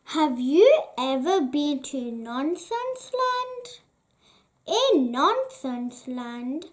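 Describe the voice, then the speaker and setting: A woman reading a children's story aloud in a deliberate, carefully phrased way with frequent pauses. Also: high voice. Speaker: children's storybook reader, channel host.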